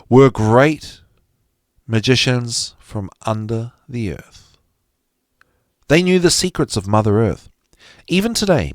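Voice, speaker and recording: Guy reading a lecture out loud, really animated, emphatic phrasing, dry close sound. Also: medium pitch, no room sound. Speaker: podcast host reading aloud from a psychology text. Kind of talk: expressive reading of a psychology lecture.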